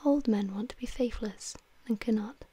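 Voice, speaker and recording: A woman voicing a languid, witty upper-class gentleman in an audiobook, in a breathy whisper. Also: fairly high pitch, moderate pace, a little hiss or hum, natural voice. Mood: amused cynicism. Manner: languid, dreamy, epigrammatic.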